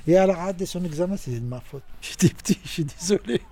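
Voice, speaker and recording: A man in his sixties with a Tunisian accent, telling stories about his childhood in a halting, animated way. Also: dry recording. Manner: animated, halting, with sudden pitch jumps. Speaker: interviewee. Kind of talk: personal storytelling.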